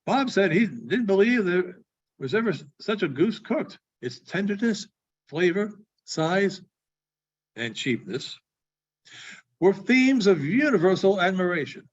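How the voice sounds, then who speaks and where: A man reading a classic story aloud in a theatrical, deliberate manner with dramatic pauses. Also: sits high, very animated, husky. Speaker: librarian giving a public reading. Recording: dull, muffled lo-fi recording.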